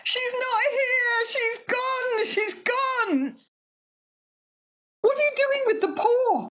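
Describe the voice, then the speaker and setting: Very high-voiced, desperate older mother played by an actress. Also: takes it slowly, a bit bright, full character voice. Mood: distraught, tearful. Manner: pleading, tearful, highly emotional. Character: distraught older mother, desperate, obsessively hopeful for her daughter. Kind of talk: radio play horror acting. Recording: slightly dull home recording.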